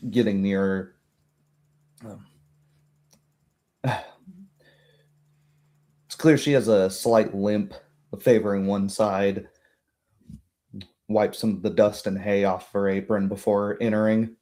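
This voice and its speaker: A man with a mellow, fairly low voice works as game master of a tabletop session. He narrates slowly and haltingly, feeling out each phrase as he improvises the scene. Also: steady tone. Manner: hesitant, halting delivery.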